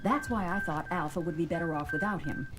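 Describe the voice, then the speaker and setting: A woman's voice: fairly low pitch, wildly swooping pitch, a little fast, low-quality recording. A woman acting in an audio drama, playing a cautious, concerned doctor on a space base in an earnest, dramatic way. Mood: measured, serious. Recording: loud dramatic music behind the voice.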